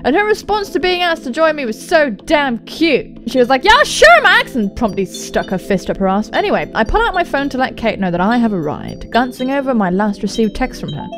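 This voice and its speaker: A young woman reads a visual novel aloud for a gaming video, her voice on the high side and very animated. She breaks into jokey, sweary commentary as she goes, with some music behind her. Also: brisk, few pauses. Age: young adult. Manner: expressive, sweary, jokey asides.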